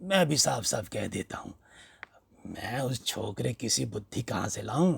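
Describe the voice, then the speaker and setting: A man voicing a hearty, flattering old villager in a storybook reading, coaxing and pleading in a familiar, emphatic way. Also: mid-range voice, unhurried, gravelly, breathy edge, no echo, heavily acted. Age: older.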